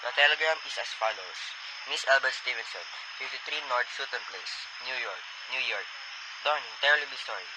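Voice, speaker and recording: Telegram clerk, male actor: mid-range voice, courteous, impersonal recital, radio drama, clearly noisy sound. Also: mid-range voice, lively intonation, brisk, natural voice. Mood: businesslike. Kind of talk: amateur radio play acting.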